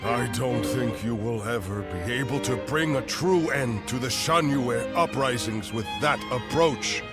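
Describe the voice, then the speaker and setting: An actor playing an outspoken, principled veteran general of ancient China in a video game cutscene, speaking earnestly and deliberately. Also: male voice, medium pitch, close to an everyday voice. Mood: reproachful. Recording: orchestral music with fiddle behind the voice.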